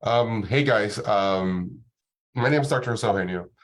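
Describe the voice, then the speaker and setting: A man, a doctor giving a relaxed, informal talk to an online audience with many ums and restarts. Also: on the low side, a little slow. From Dominican Republic. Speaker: guest doctor presenting.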